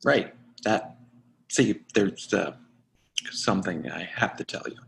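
A man playing a nervous, lovestruck guy in a play reading, speaking in a halting, earnest way. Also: low voice, lively intonation, gravelly, a bit bright, exaggerated voice, low-quality recording. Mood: hesitant, evasive. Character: Sam, nervous lovestruck man confessing to a friend. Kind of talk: readers theatre play reading.

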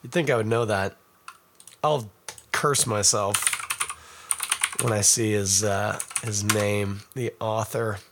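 A middle-aged Canadian man, hoarse and raspy from a cold, hosting a casual podcast and rambling in a self-mocking way to viewers. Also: lowish voice, fairly even intonation, slow pace, long pauses, background noise. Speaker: podcast host. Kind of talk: casual live-stream chat.

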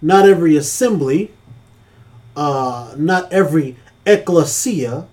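A man's voice: on the high side, expressive, takes it slowly, darkish tone. A man co-hosting a casual church-talk podcast, speaking earnestly with a preacherly cadence.

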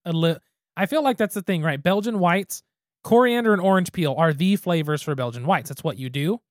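A man's voice: high-pitched, a little fast, no room sound, clean studio sound. A man co-hosting a podcast, chatting casually and thinking aloud as he reviews a beer. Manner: easygoing, thinks aloud with restarts. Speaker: podcast co-host.

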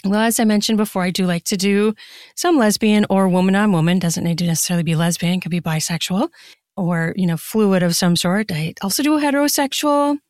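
A woman speaks in a medium-pitched voice with an easy, chatty flow. She sounds like a podcast guest giving casual, expansive answers. The sound is dry, with very little room in it. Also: a little fast, darkish tone, dry recording. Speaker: podcast guest, erotica author and audiobook narrator. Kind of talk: casual conversational interview answers.

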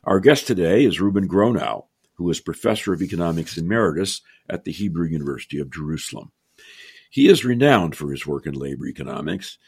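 A very deep, gravelly older male host with a slightly husky voice opens the podcast with a formal introduction. He then moves into relaxed, chatty questions. The sound is dry and close. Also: no echo. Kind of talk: scripted intro, then interview.

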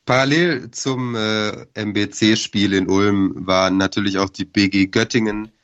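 A man with a cold, hoarse, hosting a casual sports podcast and hesitating with many filler sounds. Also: fairly low pitch, steady tone, a little slow, a bit bright, highs cut off. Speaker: podcast co-host.

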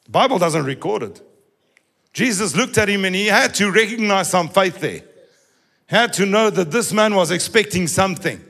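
A man preaching a sermon in a sing-song manner that rises into shouts. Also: high voice, expressive, moderate pace.

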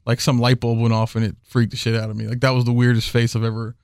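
A man's voice: mid-range voice, monotone, fast talker, darkish tone, clear, resonant tone, dry recording, clean studio sound. A man talking casually as a podcast guest, rambling with many fillers and restarts. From Houston area. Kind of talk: casual conversation.

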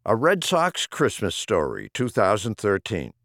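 Animated man reciting rhyming verse. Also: on the low side, unhurried, creaky, no echo, clean studio sound. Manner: theatrical, rhythmic verse delivery. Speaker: audiobook reader. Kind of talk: scripted reading of humorous rhyming poems.

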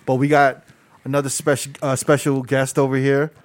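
A man's voice: mid-range voice, level tone, normal speed. A young man hosting a podcast in casual chat, teasing his guests, swearing and laughing a lot. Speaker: podcast host.

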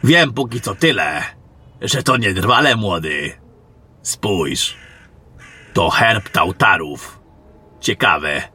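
A man's voice: on the low side, lively intonation, slow, pauses often, pushed and bright, breathy edge, heavily acted. A man playing a seasoned older warrior mentor in a fantasy audio drama, terse, brooding and knowing. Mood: grim. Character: gruff seasoned older male warrior mentoring a young soldier.

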